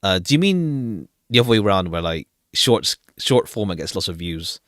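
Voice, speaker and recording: A man's voice: deep voice, very animated, moderate pace, fairly bright tone. A man streaming a game with casual commentary, rambling hesitantly and repeating phrases as he goes. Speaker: live streamer. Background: faint jazzy game music.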